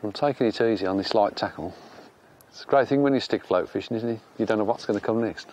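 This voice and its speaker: Calm older English angler explaining tackle. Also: male voice, fairly low pitch, fast talker, slight background noise. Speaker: fishing show co-host. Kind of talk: instructive fishing commentary. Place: small boat on a river outdoors.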